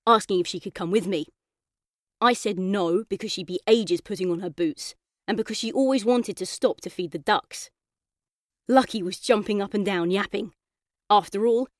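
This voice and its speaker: Boy narrator for a children's audiobook, read by a woman. Medium-pitched, cheeky but thoughtful, expressive, dry recording. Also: no room sound, lightly acted. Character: young football-loving boy narrator, thoughtful, cheeky, trapped in coma. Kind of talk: first-person audiobook storytelling.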